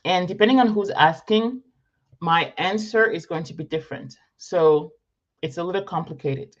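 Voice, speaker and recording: A woman's voice: on the low side, a little slow, highs cut off. A woman in her thirties, from Morocco, answering viewer questions in a casual live Q&A, thinking aloud, repeating herself and correcting as she goes. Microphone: live-stream setup.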